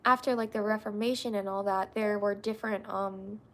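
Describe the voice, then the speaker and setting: A woman's voice: mid-range voice, steady tone, moderate pace, a bit bright. A young woman who is a little congested, hosting a livestream and talking casually and earnestly about faith, answering viewers with frequent fillers and restarts. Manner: rambling, many restarts and fillers. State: congested from allergies.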